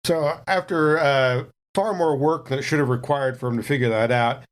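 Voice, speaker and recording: A man's voice: mid-range voice, moderate pace. A man playing a tabletop roleplaying game, casually describing what his character does.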